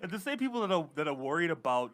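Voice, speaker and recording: A man from Trinidad with a Trinidadian accent, co-hosting a podcast in casual comedic chat, joking and animated. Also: fairly high pitch, quick pace. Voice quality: animated, joking, repeats words. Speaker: podcast co-host.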